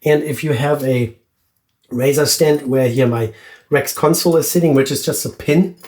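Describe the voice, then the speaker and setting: A man's voice: a little high, normal speed. A man with a blocked-up nose talks in a nasal, congested voice as he reviews shaving gear. He speaks casually and easily, with lots of fillers and restarts. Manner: relaxed, chatty, with many fillers and restarts. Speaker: shaving channel host. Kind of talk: casual product review vlog.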